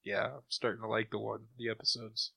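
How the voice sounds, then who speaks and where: A man's voice: on the low side, monotone, brisk, creaky, bright, forceful tone, breathy edge, dry recording. A man co-hosting a podcast in casual, joking conversation. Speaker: podcast co-host.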